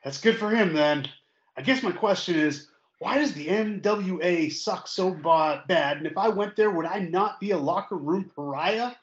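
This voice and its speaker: A man's voice: high voice, close to an everyday voice. Man playing a needling caller, roomy sound. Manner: rambling, pointed, halting. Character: needling, earnest male wrestling fan calling in. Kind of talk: comedic audio play acting. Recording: roomy, dull caller audio.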